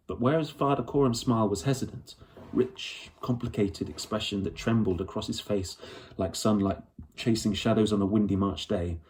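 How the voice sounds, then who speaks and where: A man with a fairly low voice reads a children's novel aloud. He narrates the scene as a storyteller would in an audiobook. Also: a little mellow. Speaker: audiobook reader.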